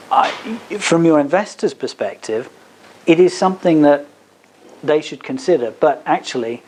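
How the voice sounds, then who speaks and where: A man's voice: medium pitch, a little mellow, poor audio. A man speaking as a lawyer at a seminar, answering questions in a hesitant, explanatory way. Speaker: advocate giving a legal seminar. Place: seminar at a business hub. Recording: faint background noise.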